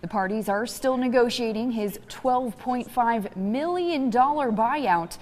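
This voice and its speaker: A woman's voice: a little high, measured pace, darkish tone, big-room sound. A woman with an American accent reading scripted sports news as an anchor.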